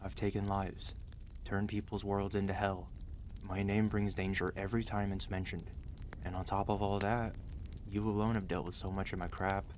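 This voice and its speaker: A man's voice: deep voice, level tone, normal speed, a little hiss or hum, close to an everyday voice. A man playing a weary, protective mafia boss husband in an intimate ASMR roleplay, speaking tenderly and earnestly, as if confiding. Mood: tender, remorseful.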